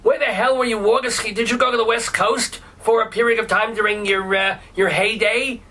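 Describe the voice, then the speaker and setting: A man's voice: high voice, fairly even intonation, fairly quick, pushed and bright. A man hosting a talk radio interview, blunt and incredulous, firing wisecracks and questions at his guest. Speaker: radio host.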